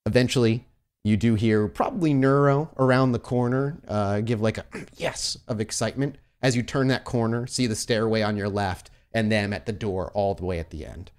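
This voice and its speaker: A man's voice: lowish voice, moderate pace, darkish tone, clear, resonant tone. A man running a tabletop game as game master, narrating scenes and calling for dice rolls.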